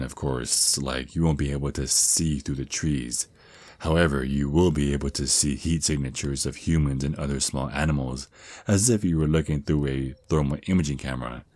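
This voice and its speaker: A man's voice: rumbling low, a little mellow, no echo. A man voicing an affectionate, protective vampire naga boyfriend in an intimate ASMR roleplay, mentoring his partner with slightly formal wording and small stammers. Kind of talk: ASMR roleplay, intimate one-sided scripted dialogue.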